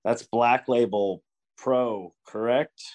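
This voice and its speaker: A man's voice: mid-range voice, slow pace, a little mellow. A man with a nasal voice and an upstate New York accent, hosting a podcast in easygoing, self-deprecating chat. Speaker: podcast host. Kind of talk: casual chat.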